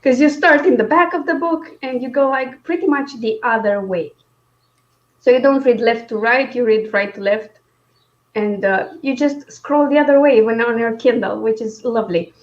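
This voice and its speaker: A woman with a fairly high voice co-hosts a casual book chat. She speaks informally and a little hesitantly, with wry self-deprecation. The recording is somewhat dull and lo-fi, like an online call. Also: moderate pace. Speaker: co-host of a book discussion stream. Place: indoors on a live video stream.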